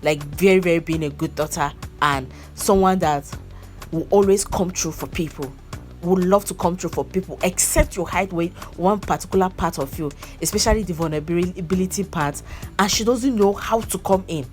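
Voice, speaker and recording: A woman's voice: on the low side, normal speed, soft background music. A young woman chatting casually about a movie, repeating herself and breaking into laughs. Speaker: movie reviewer. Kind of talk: casual movie review chat.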